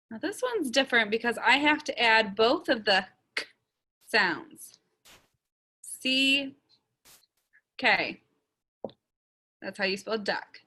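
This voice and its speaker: Slow, bright-voiced woman teaching children. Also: a little high. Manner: sounds out words slowly, stretching letter sounds. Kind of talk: slow, step-by-step writing lesson for young children.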